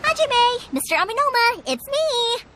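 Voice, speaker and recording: Eager young game heroine; very high, animated, chatty, friendly and earnest. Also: female voice, a little slow, a little hiss or hum, exaggerated voice. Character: cheerful, eager young woman fireworks maker. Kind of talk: animated video game voice acting.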